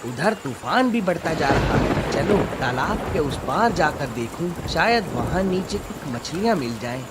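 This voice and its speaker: A man voicing a cheerful, carefree village fisherman husband in an expressive, affectionate Hindi cartoon style. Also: a little high, unhurried, heavy background noise. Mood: restless.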